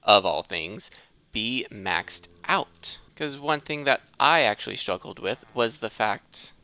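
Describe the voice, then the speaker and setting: A man's voice: fairly low pitch, lively intonation, takes it slowly, pushed and bright. A man hosting a gaming video in casual commentary, thinking aloud and correcting himself as he goes. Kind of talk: casual gameplay commentary of modded Minecraft.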